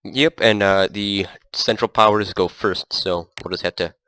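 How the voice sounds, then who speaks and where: A man's voice: lowish voice, a little slow, dry recording. A man with a stuffy nose and a cough, casually rambling as he plays a strategy game. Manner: relaxed, rambling, thinks aloud. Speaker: gameplay commentator. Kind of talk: casual let's play commentary. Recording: loud background noise.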